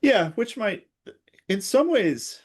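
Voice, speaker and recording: A man's voice: high voice, very animated, slow, husky. A man speaking as an expert guest in an interview, explaining technical concepts through hypothetical examples. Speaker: expert guest, security researcher.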